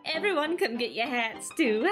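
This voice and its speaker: A woman's voice: high voice, expressive, a little slow, over music, pitched above the performer's own voice. A woman voicing a playful, boastful female saloon keeper who teases in a western video game. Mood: jokey, teasing. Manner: playful, teasing, self-amused. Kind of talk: video game character read-aloud.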